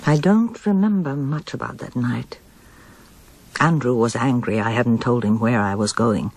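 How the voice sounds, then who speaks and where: A woman's voice: rumbling low, unhurried, a little mellow, slightly rough, heavily acted. An actress playing an older mother, bewildered and reflective, speaking in a hesitant, musing way in a naturalistic radio drama. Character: older mother, bewildered, reflective, anxious, remembering her past.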